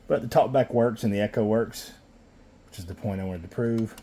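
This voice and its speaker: A man's voice: lowish voice, a little fast. A man giving a casual, folksy product demo of radio gear, muttering informal asides as he tests it. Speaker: radio seller demonstrating equipment. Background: CB radio noise.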